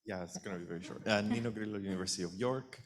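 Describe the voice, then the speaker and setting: A man in the audience asking a follow-up question at a conference, halting and full of restarts. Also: fairly low pitch.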